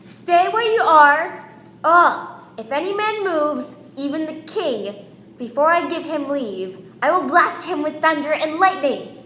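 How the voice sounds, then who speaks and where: A woman's voice: sits high, expressive, measured pace, sharp tone, slight background noise, exaggerated voice. A young woman in an amateur radio play, acting as a boastful, commanding Yankee man who poses as a magician. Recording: echoey room.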